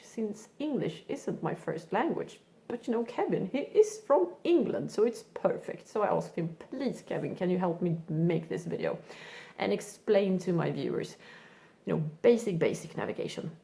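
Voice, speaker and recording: Woman vlog host, chatty and word-searching, warm tone with a lively, swinging pitch. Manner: chatty, searching for words, many fillers. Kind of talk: casual vlog introduction.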